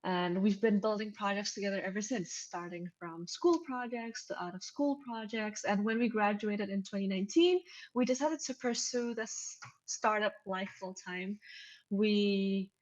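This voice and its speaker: A young woman from Indonesia, a startup co-founder, answering interview questions over a video call in a steady, matter-of-fact way. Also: medium pitch, moderate pace, muffled recording. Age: young adult.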